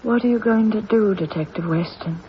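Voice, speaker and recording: An actress playing a bitter, ambitious widow with a dramatic, aggrieved manner in a radio mystery. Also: female voice, medium pitch, moderate pace, lightly acted. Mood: pleading. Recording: dull, noisy old radio broadcast.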